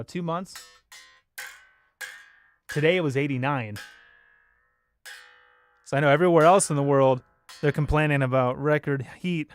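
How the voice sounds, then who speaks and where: An American man from Los Angeles hosting a casual livestream, chatting informally with viewers in a rambling, joking way. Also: a little high. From Los Angeles, United States. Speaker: bass player and livestream host.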